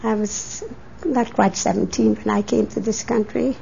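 An eighty-year-old woman from Hungary with a Hungarian accent, recalling her life in a deliberate way for an oral history interview on tape. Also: mid-range voice, fairly even intonation, gravelly, dark tone, slight background noise. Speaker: interviewee, immigrant. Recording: dull 1980s tape interview recording.

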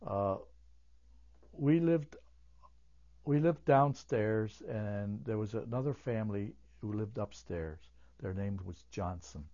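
An older man whose first language is German, recalling his childhood in an oral history interview, halting with many fillers and restarts. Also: fairly low pitch, lively intonation, dark tone, highs cut off.

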